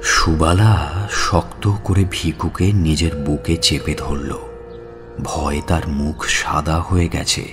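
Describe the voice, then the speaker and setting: A man's voice: rumbling low, fairly even intonation, slow, music in the background. A man narrating a scripted audio story in a dramatic storytelling style.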